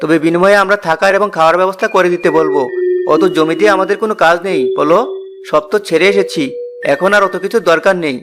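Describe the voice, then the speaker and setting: Middle brother, male actor: decisive, hot-headed, high pitch, quick pace, fairy-tale cartoon. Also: lightly acted. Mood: confident. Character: headstrong, confident middle brother, hard-working young villager. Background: music.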